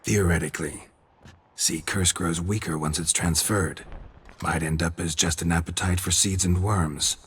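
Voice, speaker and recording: Very husky, deep, terse monster hunter. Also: male voice, moderate pace, heavily acted. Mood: terse, deadpan. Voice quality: gravelly, husky. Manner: terse, deadpan, clipped sentences. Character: stoic, world-weary male monster hunter for hire. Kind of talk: video game voice acting.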